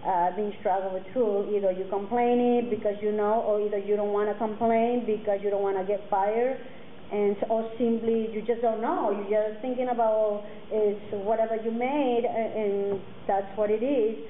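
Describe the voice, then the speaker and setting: A woman in her forties, a native Mixtec speaker, speaking earnestly to students and halting often with fillers and restarts. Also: medium pitch, steady tone. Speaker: guest speaker. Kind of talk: personal talk to students. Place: classroom with curtains, indoors. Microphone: table mic on a stand. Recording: tinny phone-like sound, faint noise.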